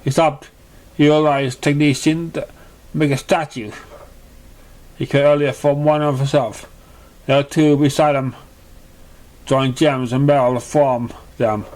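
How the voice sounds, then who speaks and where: A man narrating a fantasy fan story in an amateur read-aloud style, halting and stumbling over words. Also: medium pitch, fairly even intonation, darkish tone.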